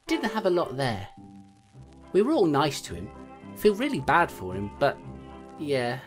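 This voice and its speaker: A woman voicing a small clawed creature investigator, telling a grim story in clipped noir style for an audio drama. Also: low-pitched. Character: small clawed creature investigator, streetwise, grimly self-deprecating narrator.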